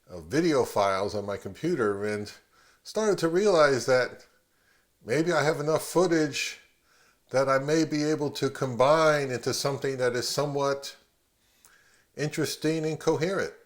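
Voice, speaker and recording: A man hosting a video, explaining things casually in an unhurried, self-deprecating way. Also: medium pitch, animated. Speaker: video host.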